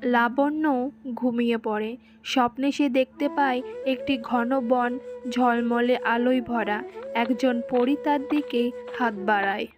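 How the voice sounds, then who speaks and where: A woman's voice: a little high, steady tone, slow. A woman reading scripted fairy-tale narration as the narrator of a story.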